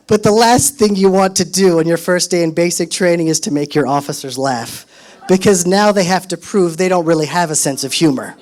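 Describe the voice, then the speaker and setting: Brief: high-pitched man, 30s, lively storyteller at a podium, punches up quoted lines. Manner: animated, acts out quotes in raised voice. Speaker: speaker at a solidarity event. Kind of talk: storytelling speech to an audience.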